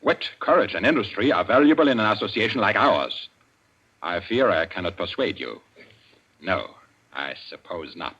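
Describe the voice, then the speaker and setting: An actor playing the coldly menacing president of a criminal society in an old-time radio suspense play, formal and authoritative. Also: male voice, on the low side, lively intonation, normal speed, bright, forceful tone, breathy edge, lo-fi sound, exaggerated voice. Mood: grave, formal.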